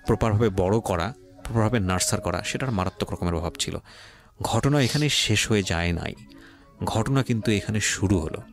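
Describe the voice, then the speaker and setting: A man with a Bangladeshi accent hosting a talk show, emphatic, repeating phrases for weight and mixing in English. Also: low voice. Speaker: radio show host. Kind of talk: talk show interviewing and commentary. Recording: music playing behind the voice.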